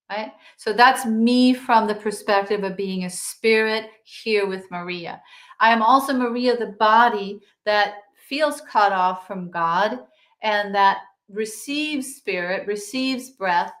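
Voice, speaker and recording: Soft-spoken woman, roomy sound. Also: mid-range voice. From Denmark. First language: Danish. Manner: soft, meditative, drifting quieter. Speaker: guest, yoga teacher and author. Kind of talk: spiritual interview talk.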